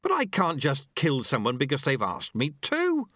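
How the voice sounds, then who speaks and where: A man's voice: mid-range voice, huge pitch swings, moderate pace, fairly bright tone, no echo, studio quality, pitched above the performer's own voice. A man voicing a nervous, earnest young male apprentice who talks hesitantly in an audiobook. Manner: hesitant and stammering. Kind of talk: audiobook character voice.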